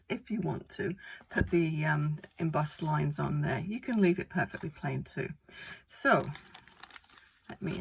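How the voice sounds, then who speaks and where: Croaky, low-voiced woman teaching crafts. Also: a little hiss or hum. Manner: chatty, rambling step-by-step explanations with many fillers. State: croaky from a cold. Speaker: card-making instructor. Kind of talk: casual crafting tutorial.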